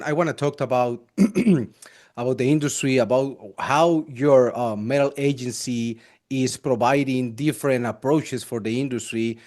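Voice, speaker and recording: Immigrant podcast host, quick and stumbling. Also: male voice, medium pitch. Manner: quick, stumbling, non-native phrasing. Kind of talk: casual interview hosting.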